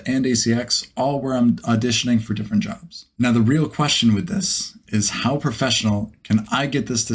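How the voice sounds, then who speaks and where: A male vlog host with a medium-pitched voice and a slightly husky edge. He talks casually and conversationally, like someone explaining a project as he goes. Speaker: video host, beginner voice actor.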